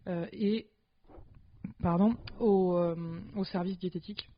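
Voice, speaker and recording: A woman's voice: fairly low pitch, steady tone, measured pace. Woman cohost, jokey and rambly, bit dull lo-fi recording. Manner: chatty, jokey, trailing hesitations. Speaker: podcast co-host. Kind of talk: casual chat. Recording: dull lo-fi podcast sound.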